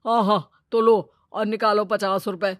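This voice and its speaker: A woman's voice: medium pitch, steady tone, dry recording, close to an everyday voice. Woman putting on a greedy, scheming male moneylender, dry recording. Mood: dismissive, bossy. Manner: crafty, brazen, drawn-out exclamations. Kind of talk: Hindi cartoon moral story acting.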